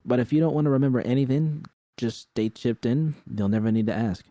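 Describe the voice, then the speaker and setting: A man's voice: medium pitch, fairly quick, mellow, no echo, studio quality, pitched above the performer's own voice, close to an everyday voice. A man voicing an earnest young woman technician, nervous but professional, hesitant and accommodating. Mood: polite, professional.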